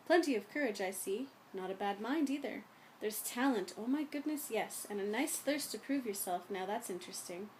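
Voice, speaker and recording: A woman voicing an old male magic hat that talks in a thoughtful, drawn-out, pondering way. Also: medium pitch, expressive, a little hiss or hum, lightly acted, low-quality recording. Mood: musing. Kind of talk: audiobook character voice.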